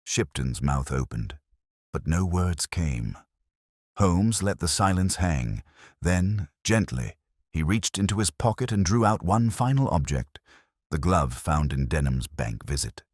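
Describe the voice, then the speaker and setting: A man's voice: low-pitched, big pitch swings, a little slow, a little mellow, dry recording, clean studio sound. A man narrating a Victorian detective mystery in the scripted style of an audiobook. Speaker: narrator, Dr Watson telling a detective story.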